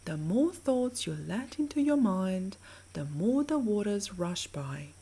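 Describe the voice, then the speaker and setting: A woman with a soft, breathy voice narrating a scripted guided sleep meditation in a soothing, measured, gently instructing way. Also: mid-range voice, very animated.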